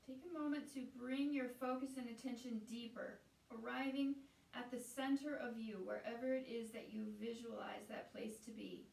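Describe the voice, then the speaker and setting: A woman guiding a meditation as a teacher, speaking in a soothing, unhurried way with pauses between phrases. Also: on the high side, steady tone, gravelly, darkish tone. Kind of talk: guided meditation. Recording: roomy echo, distant from the mic.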